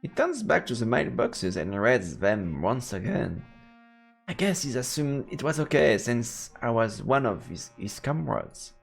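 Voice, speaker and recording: A man's voice: very animated, unhurried, vocal fry. A man doing playful let's play commentary, reading a visual novel aloud and throwing in silly exclamations. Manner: playful, breaks into silly high-pitched exclamations. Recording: game music behind the voice.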